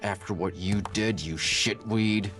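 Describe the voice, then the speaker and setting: An actor playing a sly, evasive outlaw camp boss in a video game, sardonic and drawling, with mocking menace. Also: male voice, on the low side, unhurried, fairly bright tone, faint music behind. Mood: menacing, scornful. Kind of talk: video game character dialogue.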